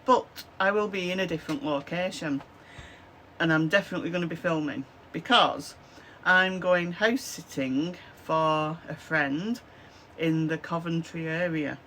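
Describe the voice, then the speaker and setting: A woman in her fifties with a Lancashire accent, a chatty crafter with a chesty cough and a hoarse voice, rambling to camera from her small craft room with lots of fillers. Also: deep voice, measured pace, fairly bright tone, slight background noise. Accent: Lancashire English. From Lancashire, England. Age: 50s. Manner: rambling, with many "um, so yeah" fillers. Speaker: craft vlogger. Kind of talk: casual chatty to-camera vlog. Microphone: camera at desk, close framing.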